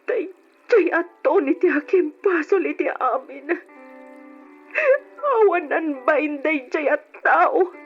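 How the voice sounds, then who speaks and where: A woman's voice: high voice, slow pace. A woman acting as a distraught figure in a tragic Ilocano radio melodrama, drawing out her words tearfully. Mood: anguished, tearful. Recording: dull old radio recording with music.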